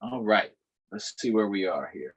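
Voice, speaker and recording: A man with a slightly husky voice hosts a children's storytime, reading a picture book aloud. His delivery is expressive, with a lively pitch that rises and falls, and he pauses to ask the kids questions. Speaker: councilmember hosting a virtual storytime.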